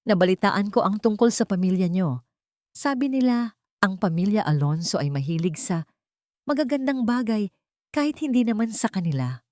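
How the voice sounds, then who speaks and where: Woman acting vengeful rich young woman, Filipino accent, icy, sugary yet sharp, sarcastic, deliberate, audio story, dry sound. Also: medium pitch, lively intonation, no room sound, pitched above the performer's own voice, lightly acted. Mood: sweetly cutting.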